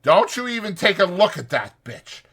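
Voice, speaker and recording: A man's voice: high-pitched, sing-song, normal speed, fairly bright tone, voice pushed up, full character voice. A man voicing an irritable, protective ranch hand who scolds brusquely in rural American dialect for a dramatized audiobook. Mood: fierce scolding.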